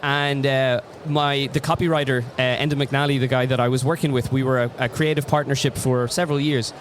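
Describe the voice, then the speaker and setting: A man's voice: medium pitch, level tone, brisk, a little hiss or hum. A man, a comic artist, chatting as an interview guest and telling reflective stories with frequent restarts. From Ireland. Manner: reflective storyteller, many restarts. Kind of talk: casual interview chat.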